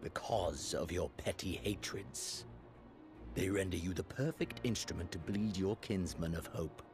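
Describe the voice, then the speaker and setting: Fantasy game villain: cold, menacing imperial prince, low register, deliberate and contemptuous delivery, over prominent music. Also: male voice. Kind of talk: scripted fantasy video game acting.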